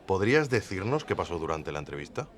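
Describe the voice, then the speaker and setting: An actor playing a sympathetic, apologetic documentary interviewer who prompts gently and briefly. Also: male voice, low voice, sing-song, quick pace. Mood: sympathetic, probing.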